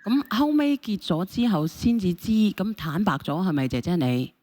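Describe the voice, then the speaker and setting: A woman's voice: lowish voice, sing-song, normal speed, darkish tone. A woman with a Hong Kong Cantonese accent hosting a relationship phone-in, cutting in and repeating her questions in a flustered way. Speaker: host of a phone-in show.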